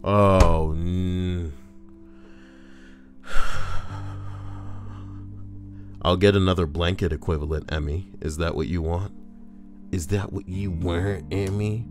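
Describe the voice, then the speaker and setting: A man's voice: low voice, steady tone, takes it slowly, long pauses, music in the background. A man casually reading forum posts aloud on a livestream, breaking in with crude, joking asides.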